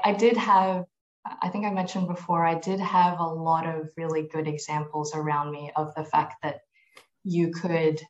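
Soft-spoken woman, roomy lo-fi sound. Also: lowish voice. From grew up in Malaysia, US and New Zealand. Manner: thoughtful, many ums and restarts. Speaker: podcast guest, tax executive. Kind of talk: conversational interview answers.

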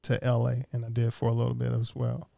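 A man from Mississippi, co-hosting a relaxed interview chat. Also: medium pitch, quick pace, creaky, no echo. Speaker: co-host.

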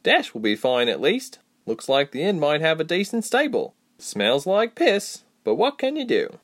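A man's voice: a little high, wildly swooping pitch, normal speed, a bit bright, pitched above the performer's own voice, exaggerated voice. A man voicing a blunt, cheeky young woman traveller in a fantasy audiobook, frank and wry.

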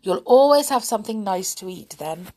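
A woman playing a kind young boy, gentle, friendly storybook voice. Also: mid-range voice, expressive, moderate pace, natural voice.

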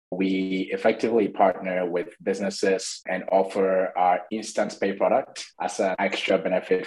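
A man in his thirties from Ghana with a fairly low voice, a startup co-founder answering interview questions. He talks in a relaxed, easygoing way, filling pauses with "uh" and "you know.". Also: rough recording.

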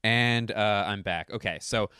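Low-voiced hoarse comedian, throat-clearing. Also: male voice, measured pace, bright, forceful tone, no room sound, clean studio sound. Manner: rambling, self-correcting, profane. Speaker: stand-up comedian. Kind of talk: casual talking-to-camera commentary. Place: at home.

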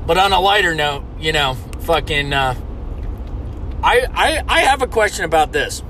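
An American man from the United States delivering a loud, mock-indignant comedic rant, swearing constantly. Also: a little high, expressive, moderate pace, slight background noise. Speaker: comedic ranter.

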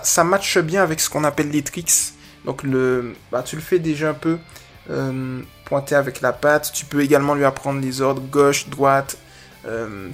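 A man hosting a casual, improvised podcast as a dog trainer, chatting informally and playfully with slang and the odd English interjection. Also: a little high.

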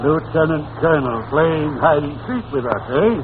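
A man's voice: fairly high pitch, big pitch swings, normal speed. A man playing a pompous, scheming older prime minister in an old radio comedy, hesitating and repeating himself. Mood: flustered, brisk. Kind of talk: 1940s radio comedy acting. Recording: noisy, tinny old-time radio recording.

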